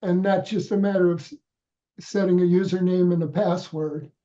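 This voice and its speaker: A man's voice: high-pitched, fairly even intonation, moderate pace, warm tone, dull audio. A man with a head cold, congested, walking volunteers patiently through a website step by step on a video call, with frequent restarts. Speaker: volunteer trainer. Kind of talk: step-by-step instructional walkthrough.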